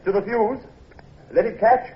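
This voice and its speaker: An actor playing an eager, modest young naval captain, excitable and deferential, in vintage radio drama style. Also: male voice, sits high, measured pace. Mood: earnest, focused. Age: young adult. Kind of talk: 1950s radio drama acting. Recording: dull, noisy vintage radio recording.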